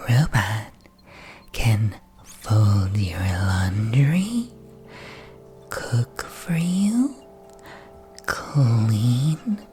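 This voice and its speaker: A man playing an upbeat advert narrator in a breathy ASMR whisper, with a soothing, salesy delivery. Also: darkish tone. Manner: slow, soothing salesy pitch. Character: smooth, upbeat promo video narrator selling robots.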